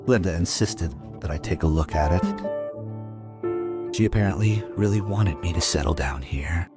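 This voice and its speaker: Deep, brooding male police investigator played by an actor. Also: close to an everyday voice. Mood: reflective. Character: world-weary male police investigator recounting a murder case. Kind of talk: German crime radio play acting, narrated monologue.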